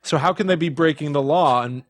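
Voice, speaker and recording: A man co-hosting a podcast in casual chat, animated and often incredulous as he recounts things. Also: a little high, a little fast, no room sound, clean studio sound. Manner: animated, reads out and re-enacts quotes incredulously. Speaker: podcast co-host.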